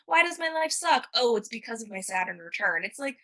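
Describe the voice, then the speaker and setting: A woman's voice: a little high, very animated, a little fast, a bit bright. A young adult woman hosting a podcast, chatting casually, laughing often and swearing now and then. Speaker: podcast host. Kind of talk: casual chat.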